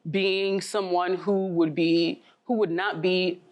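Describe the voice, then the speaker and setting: A woman who is a talk show guest and works in higher education, speaking thoughtfully and checking in with the listener. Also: steady tone, slow pace, muffled recording, a crowd in the background. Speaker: panel guest. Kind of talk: casual discussion.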